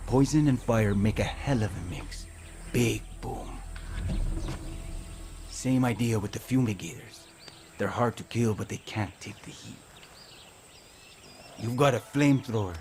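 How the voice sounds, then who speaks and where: An actor playing a blunt, profane veteran guerrilla fighter coaching a recruit. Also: male voice, on the low side, lightly acted. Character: gruff veteran male rebel guerrilla. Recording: game audio with loud ambient noise.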